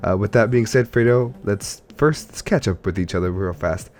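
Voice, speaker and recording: A man's voice: on the low side, moderate pace, darkish tone, a little hiss or hum. A man hosting a casual gaming podcast, chatting while congested from allergies. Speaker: podcast host.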